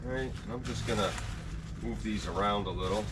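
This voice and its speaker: A man's voice: lowish voice, brisk, heavy background noise. A man chatting in a folksy, casual way to viewers as he demonstrates gardening equipment hands-on. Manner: folksy, chatty, talking while working. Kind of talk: casual hands-on product review talk to viewers.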